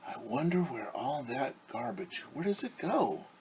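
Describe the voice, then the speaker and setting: A man's voice: mid-range voice, big pitch swings, unhurried, noisy background. A middle-aged man, a teacher, reading a picture book aloud to children and explaining things simply. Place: small room at home.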